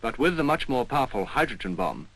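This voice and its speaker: A man of medium pitch reads scripted narration for an instructional film. His manner is formal and explanatory. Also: big pitch swings, quick pace, fairly bright tone, rough recording.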